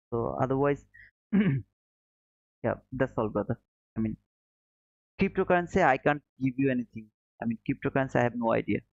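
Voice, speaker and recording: A man's voice: on the high side, no echo, highs cut off. Bangladeshi man chatting casually on a trading stream. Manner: friendly, calls viewers brother, frequent chuckles. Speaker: trading livestream host. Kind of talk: casual live chat reading viewer comments.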